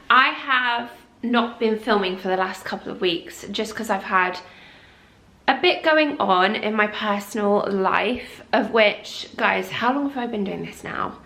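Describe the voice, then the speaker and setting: A woman's voice: medium pitch, pushed and bright. A young woman vlogging in a casual, rambling and apologetic way while congested with a cold. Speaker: lifestyle vlogger. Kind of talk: casual chat to camera.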